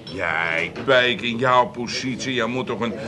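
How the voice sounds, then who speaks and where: A man's voice: medium pitch, unhurried, a crowd in the background, lightly acted. Wheedling Amsterdam hustler played by a man, crowded bar. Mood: wheedling, boastful. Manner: wheedling sales patter. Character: smooth-talking Amsterdam hustler selling dubious goods. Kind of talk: 1980s radio comedy acting.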